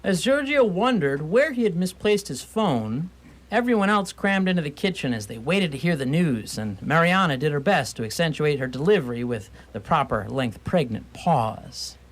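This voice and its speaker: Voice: mid-range voice, expressive, moderate pace. A narrator reading scripted storytelling for a radio theatre play, with wry dramatic timing.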